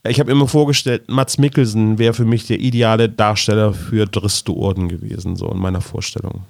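A man's voice: fairly low pitch, fairly even intonation, brisk, creaky, darkish tone, dry recording, clean studio sound. A man chatting casually as a podcast host about fantasy stories. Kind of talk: casual fan chat about fantasy audio dramas.

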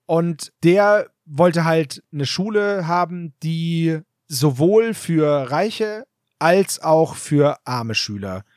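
Higher-voiced man explaining a plot. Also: lively intonation, extremely slow, a little mellow, no echo, clean studio sound. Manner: explains plot details, reads aloud from the book. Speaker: podcast co-host. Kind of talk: casual chat.

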